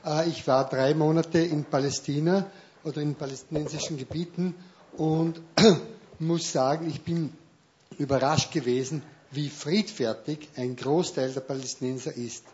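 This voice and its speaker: A man's voice: fairly high pitch, fairly even intonation, a little slow, darkish tone, lo-fi sound. A man in the audience making an earnest comment, repeating phrases for emphasis with many fillers. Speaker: audience member.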